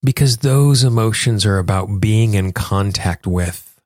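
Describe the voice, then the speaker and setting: A man's voice: lowish voice, a little slow, a little mellow, dry recording, studio quality. A man speaking as a podcast host and coach, explaining and instructing the listener directly in a conversational self-help style. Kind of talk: conversational self-help talk and guided tapping exercise.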